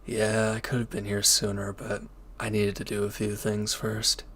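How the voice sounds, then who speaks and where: A man playing a former villain turned hesitant, conflicted lover, speaking earnestly and haltingly in an intimate audio roleplay. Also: fairly low pitch, completely flat delivery, a bit bright. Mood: casual, tired.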